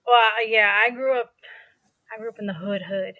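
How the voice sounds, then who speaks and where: A woman's voice: medium pitch, steady tone, moderate pace, sharp tone, no room sound, highs cut off. A young adult woman from New City, chatting casually as a podcast guest and filling her talk with "like" and restarts. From New York City. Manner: chatty, many "like" fillers and restarts. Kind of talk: casual interview conversation.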